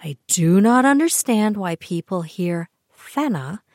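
A woman's voice: medium pitch, takes it slowly, dry recording, clean studio sound. An older woman with a Canadian accent, hosting a podcast and telling personal family stories in a chatty, wry way. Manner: chatty, wry, animated asides. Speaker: podcast host. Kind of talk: personal storytelling monologue.

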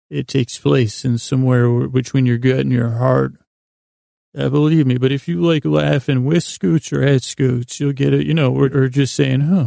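A man with a heavily creaky, gravelly voice and a dark, relaxed tone, recorded close and dry. He hosts a sleep podcast and rambles haltingly, drifting from one half-finished thought to the next in a whimsical, self-deprecating way. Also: mid-range voice, no echo. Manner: meandering, halting, trails off mid-thought and circles back. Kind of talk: rambling bedtime monologue.

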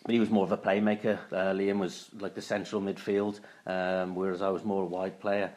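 A man in his late fifties from Wales, a former footballer, talking through his career in a casual interview, often pausing and restarting his sentences. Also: sits low, little pitch movement, darkish tone. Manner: halting, many restarts and fillers.

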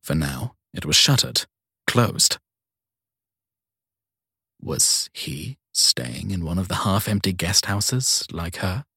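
A man with a fairly deep, husky voice narrates crime fiction as an audiobook. The sound is close and dry, with no room around it. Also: animated, slow, long pauses, pushed and bright, no room sound.

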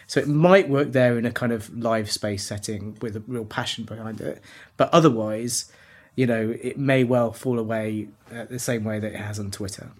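Man, podcast presenter, opinionated and conversational, average-pitched voice, loose panel chat. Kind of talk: casual panel discussion.

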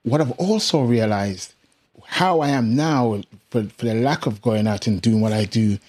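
A middle-aged English musician with a British accent touched by Jamaican Caribbean tones, telling stories casually in an interview and laughing often. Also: male voice, mid-range voice, moderate pace. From England. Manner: laughs often, animated anecdotes. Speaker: musician guest.

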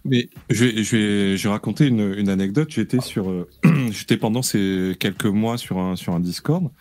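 A man on a live talk show telling an anecdote in a slow, halting way. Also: on the low side, a little mellow, dry recording. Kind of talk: casual storytelling.

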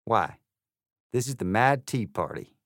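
A brash cowboy hare with a slow drawl, as a male reader puts him on. His voice climbs and swoops, lively and sure of itself. It sounds close and dry. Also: lowish voice, dry recording, heavily acted.

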